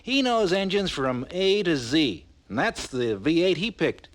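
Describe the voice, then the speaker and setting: A 1950s American car salesman in an old training film. His voice sits fairly high for a man and moves with lively intonation, chummy and reassuring as he pitches. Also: unhurried. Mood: reassuring, persuasive. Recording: vintage 1950s film soundtrack.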